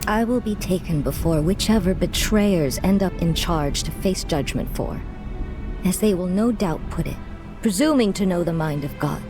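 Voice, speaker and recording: An actress playing a proud, bitter zealot who leads a crusade, speaking in solemn, formal, righteous phrases in a video game. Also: female voice, on the low side, expressive, normal speed, lightly acted. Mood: grim, resigned. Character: Annie, bitter proud axe-wielding woman zealot, crusade leader. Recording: music behind the voice.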